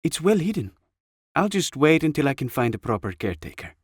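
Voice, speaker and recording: A man's voice: on the high side, big pitch swings, a little fast, husky, dry recording, studio quality, pitched above the performer's own voice. A man voicing an older woman, a wry and protective veteran sniper, for a storybook narration.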